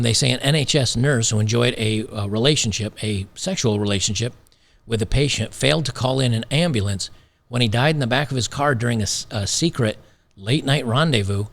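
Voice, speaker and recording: Gravelly-voiced man ranting on commentary. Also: medium pitch, a bit bright. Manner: emphatic, repeats phrases, sarcastic. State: slightly losing his voice. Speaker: commentary channel host. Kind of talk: opinionated commentary.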